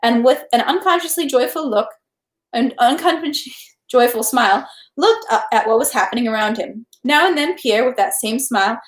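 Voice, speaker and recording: A woman reading a novel aloud as narration, in an animated, expressive way. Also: on the high side, moderate pace. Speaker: read-aloud host. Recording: echoey room.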